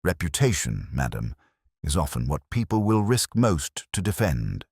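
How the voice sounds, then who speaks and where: A man voicing a calm, courteous middle-aged police inspector who speaks gently and in a measured way. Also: extremely low pitch, husky, no echo, clean studio sound, heavily acted. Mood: murmuring. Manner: gentle, measured, quietly probing.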